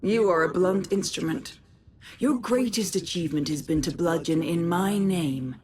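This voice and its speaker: A woman's voice: fairly low pitch, moderate pace, a crowd in the background, close to an everyday voice. A woman playing a malevolent, godlike Sith tyrant possessing a woman's body in a video game, imperious and menacing. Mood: contemptuous. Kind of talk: video game villain acting.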